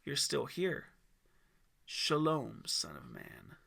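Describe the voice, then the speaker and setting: A man's voice: medium pitch, wildly swooping pitch, takes it slowly, vocal fry, sharp tone, slightly rough, full character voice. A man voicing Lucifer as a sly, hateful, condescending villain in an audiobook story. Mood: taunting. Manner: menacing, scheming, condescending. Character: sly, hateful dragon Lucifer in human form, taunting villain.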